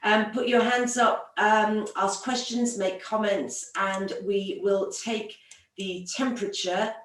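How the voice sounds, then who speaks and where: A woman moderates an event in a mid-pitched voice. She introduces and questions a guest speaker. Her sound is roomy and a little distant from the microphone, as on an online call. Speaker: host and moderator.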